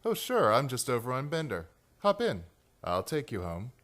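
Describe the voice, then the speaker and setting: A man playing a middle-aged killer who poses as a friendly, smooth-talking neighbor in a noir radio play. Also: mid-range voice, wildly swooping pitch, normal speed, fairly bright tone. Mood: ingratiating. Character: predatory middle-aged male strangler posing as friendly neighbor. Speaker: actor in a community radio play.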